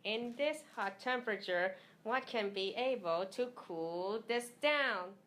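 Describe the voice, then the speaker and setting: A woman playing a hot, thirsty woman in an amateur scripted radio commercial, speaking deliberately. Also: mid-range voice, a bit bright. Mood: stagey, sweltering.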